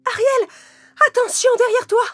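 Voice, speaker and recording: A woman's voice: very high voice, flat delivery, moderate pace, a bit bright, voice pushed up. A woman voicing a young fairy-tale princess, earnest and exclamatory, in a children's cartoon story. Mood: alarmed.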